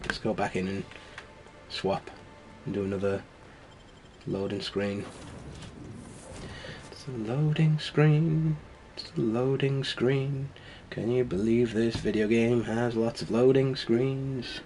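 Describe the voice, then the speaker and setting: A man's voice: mid-range voice, slow pace, pauses often, dark tone, a little hiss or hum. A man with a cold, sounding snuffly, giving rambling, wry commentary as he plays a video game. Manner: rambling and wry, with frequent laughs. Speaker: let's play host. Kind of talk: casual gameplay commentary.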